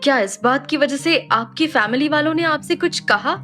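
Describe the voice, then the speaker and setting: A woman's voice: high voice, moderate pace, bright, forceful tone, faint music behind. A woman playing a young, composed and guarded doctor in an audio drama, speaking politely and reassuringly with English phrases mixed in. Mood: calm, guarded. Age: young adult. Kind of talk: Hindi audio drama acting.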